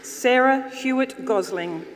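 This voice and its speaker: Woman, formal ceremony announcer, measured name reading, long hall reverb, very noisy background. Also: a little high. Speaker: graduation ceremony name reader. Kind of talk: formal roll call of graduates' names. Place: large ceremonial hall, on stage indoors. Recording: reverberant hall, loud applause and noise. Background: audience applause.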